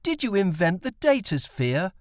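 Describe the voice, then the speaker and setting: A man's voice: sits high, sing-song, moderate pace, no echo, studio quality, voice pushed up. A man voicing an earnest, indignant young boy in an audiobook, plaintive and protesting. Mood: curious.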